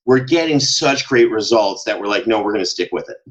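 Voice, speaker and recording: Animated male interview guest, dull lo-fi sound. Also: lowish voice, fairly quick. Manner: animated storyteller, acts out quoted exchanges. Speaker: podcast guest, audio drama producer. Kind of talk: casual interview chat, anecdotal.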